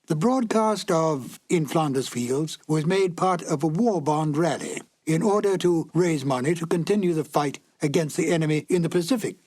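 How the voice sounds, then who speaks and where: A man's voice: fairly high pitch, normal speed, few pauses, a little mellow. A man giving a scripted narration as the presenter of a classic radio drama.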